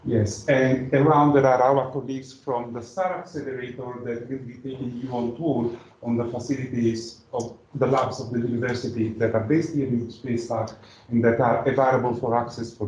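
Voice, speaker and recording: A man of middling pitch co-hosts a business event, his voice carrying into a roomy hall. He speaks in brief, somewhat formal phrases as he points guests toward colleagues. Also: darkish tone. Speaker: event co-host. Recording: roomy event room audio.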